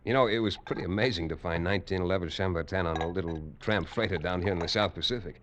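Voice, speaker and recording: A man's voice: low voice, quick pace, a bit bright, a little hiss or hum. An actor playing a shrewd, sardonic lawman in 1940s radio drama, with a controlled and knowing manner. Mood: genial.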